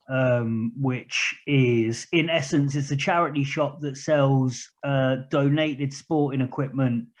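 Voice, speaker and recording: A man's voice: mid-range voice, a little mellow. Candid man with a southern English accent. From England. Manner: candid, plain-spoken, rambling. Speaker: podcast guest, charity project manager. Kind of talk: casual interview talk. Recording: muffled lo-fi recording.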